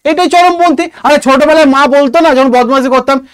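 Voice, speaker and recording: A man with an Indian Bengali accent teaching an exam coaching class in a lively, emphatic way, repeating key phrases and questions and often checking that students follow. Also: extremely high pitch, fairly quick, no room sound, studio quality. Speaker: history teacher for exam preparation.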